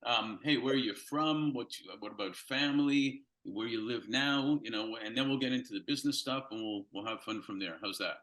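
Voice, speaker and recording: An older man hosts an interview series in a friendly way. He rambles and restarts his questions several times before he lands on one. His voice sits at a middle pitch for a man. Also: a little fast, few pauses, fairly bright tone, low-quality recording. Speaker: host. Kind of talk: interview hosting. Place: Los Angeles.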